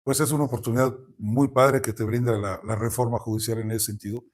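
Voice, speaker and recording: A man from Veracruz, Mexico, with a Mexican Spanish accent, hosting an interview in a relaxed, conversational way with hesitant restarts. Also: mid-range voice, a little fast. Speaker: interviewer host. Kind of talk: casual interview.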